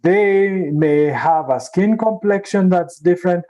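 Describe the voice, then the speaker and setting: A man giving spontaneous academic remarks in a discussion, reflective and halting, with hesitant pauses. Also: high-pitched, warm tone, highs cut off. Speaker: symposium speaker, book editor. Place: online video symposium.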